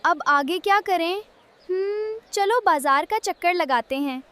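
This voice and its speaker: A woman plays a little vegetable boy from a children's cartoon, extremely high in pitch. The delivery is earnest, cheerful and encouraging, over faint background noise. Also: expressive, a bit bright. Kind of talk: children's cartoon moral story dialogue.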